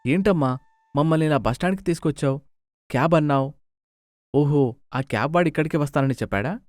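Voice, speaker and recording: A man's voice: fairly high pitch, fairly quick, a little mellow, no echo, clean studio sound, natural voice. A man playing a grumbling, questioning grown son in a dramatised moral story, complaining as he talks. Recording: music under the voice.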